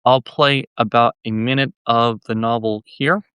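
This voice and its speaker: A man's voice: medium pitch, steady tone, measured pace, fairly bright tone, clear, resonant tone, dry recording. A man giving a casual, informal book talk as presenter, hesitant and often repeating words and phrases. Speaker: presenter reviewing a novel.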